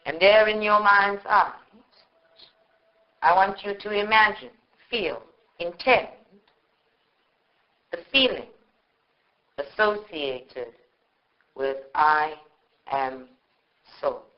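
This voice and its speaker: A woman leading a guided meditation in short, measured phrases with long pauses, on a live recording. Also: medium pitch, muffled recording. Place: live group meditation session.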